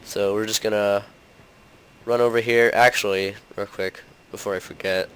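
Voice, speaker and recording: Low-voiced young man with a cold. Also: steady tone, normal speed, a bit bright, slight background noise. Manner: rambling, heavy on fillers like "like" and "um". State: slightly hoarse from a cold. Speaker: host of a gaming channel. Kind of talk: casual gameplay commentary.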